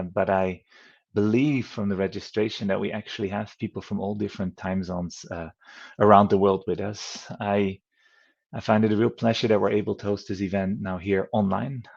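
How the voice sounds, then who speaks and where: Male moderator from the Netherlands, 30s, low gravelly voice, friendly and rambling, muffled video-call sound. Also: darkish tone. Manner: friendly, rambling with many fillers. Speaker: event moderator. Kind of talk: online event hosting.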